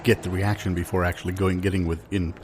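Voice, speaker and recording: A man improvising comedy banter with a mock-earnest, deadpan manner. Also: sits low, fast talker, background noise.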